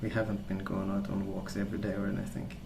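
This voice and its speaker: Soft-spoken, gravelly low-voiced man, ASMR. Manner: calm, gentle, unhurried storytelling. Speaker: ASMR video creator. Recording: roomy sound with clear background noise.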